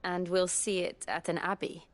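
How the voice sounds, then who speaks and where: An actress playing a composed, courteous travelling companion in a fantasy video game, calm and polite. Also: female voice, on the low side, moderate pace, natural voice. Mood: thoughtful. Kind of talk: dramatic video game acting. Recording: guitar music behind the voice.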